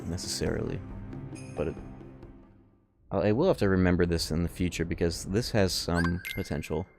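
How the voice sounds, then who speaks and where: A man's voice: low voice, creaky, a little mellow. A man streaming a game, thinking aloud in casual, analytical commentary with slangy swearing. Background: music behind the voice.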